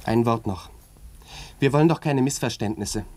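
An actor in a radio play voicing a proud, earnest young cavalry lieutenant, polite and emphatic. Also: male voice, mid-range voice, moderate pace, a little mellow, close to an everyday voice. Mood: firm, proud.

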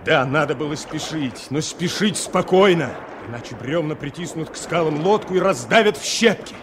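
A man's voice: fairly high pitch, animated, moderate pace, background noise, lightly acted. A man acting as the storyteller of a radio drama, delivering scripted narration with dramatic feeling. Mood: urgent. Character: dramatic male storyteller of river adventure.

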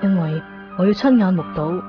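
A woman playing a wistful, heartbroken young woman who narrates a radio drama in measured, reflective phrases. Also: on the low side, expressive, lightly acted. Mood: sad, reflective. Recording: muffled 1990s radio recording, music behind.

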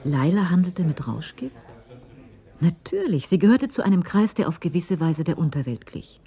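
A woman narrating a literary crime story in a radio drama, in a measured storytelling manner. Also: fairly low pitch, expressive, dark tone, husky, full character voice. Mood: measured, suspenseful.

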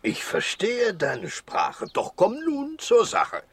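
Kindly animal doctor, fairly high voice, hugely varied intonation, witty and patient, children's radio play. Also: male voice, slow, exaggerated voice. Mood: reassuring. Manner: expressive, rhyming.

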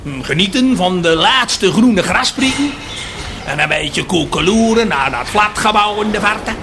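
An actor playing a furious cow who rallies the herd in a theatrical radio play monologue, declamatory and full of rhetorical questions. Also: male voice, high voice, expressive, measured pace, background noise. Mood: scornful, urging. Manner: declamatory, rousing, rhetorical questions and repeated refrains. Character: furious cow rallying the herd against city builders.

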